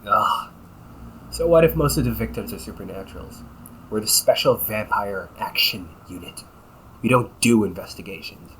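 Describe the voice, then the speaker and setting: A man's voice: on the low side, normal speed, a bit bright, a little hiss or hum, natural voice, rough recording. A man playing a cynical, teasing vampire cop in an audio roleplay, talking in a slangy, sarcastic and flippant way. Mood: dismissive, irritated. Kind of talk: audio roleplay, casual conversational acting.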